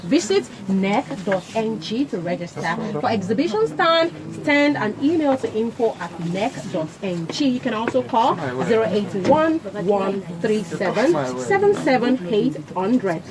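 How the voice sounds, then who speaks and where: Brisk woman reading radio promos. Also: fairly high pitch, very animated, over a noisy crowd. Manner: brisk, upbeat promo delivery. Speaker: radio host reading announcements. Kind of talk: reading paid announcements on air.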